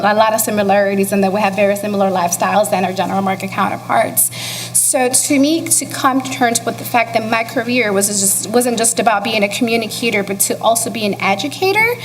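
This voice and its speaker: A woman's voice: medium pitch, big-room sound, noisy background. Earnest woman. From Dominican Republic. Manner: earnest, searching for words. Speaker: panelist. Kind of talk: panel discussion. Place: event hall, live panel.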